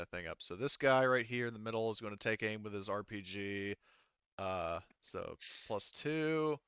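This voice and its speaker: Guy game mastering, halting as he works out rules, dry slightly dull mic. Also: lowish voice, a bit bright, clean tone, no room sound. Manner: halting, thinking aloud while running the game. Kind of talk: casual game narration, rules talk.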